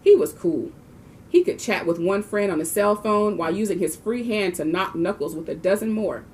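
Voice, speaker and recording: A woman's voice: medium pitch, lively intonation, normal speed, darkish tone. Woman reading a storybook over background noise. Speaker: volunteer reader of a children's book. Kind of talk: storybook read-aloud.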